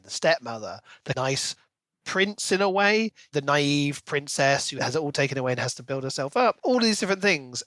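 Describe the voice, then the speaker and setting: A man's voice: on the high side, fairly bright tone, no echo. A man co-hosting a casual book discussion, talking in an enthusiastic, speculative and conversational way. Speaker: podcast co-host.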